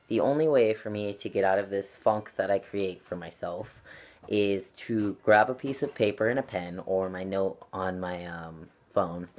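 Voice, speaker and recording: A young man talking earnestly and reflectively to camera at home, with frequent ums and likes. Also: low voice. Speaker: channel vlogger. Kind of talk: casual talk to camera. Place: at home with his cat.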